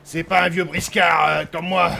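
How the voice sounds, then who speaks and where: A man playing a boastful, gruff old sea captain, weakened and tipsy, with a gravelly voice and slangy, loud-mouthed talk. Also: fairly high pitch, level tone, moderate pace, heavily acted.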